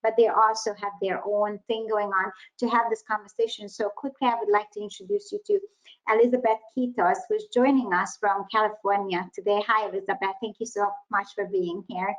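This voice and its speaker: A woman's voice: medium pitch, a little fast. A woman hosting a webinar over a video call, politely introducing guests and thanking them. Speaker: webinar host. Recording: dull lo-fi video call audio.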